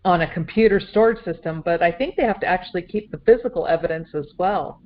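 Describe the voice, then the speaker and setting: A woman's voice: lowish voice, fast. A woman talking casually and conversationally in a group phone discussion. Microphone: phone conference call. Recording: dull lo-fi conference call audio.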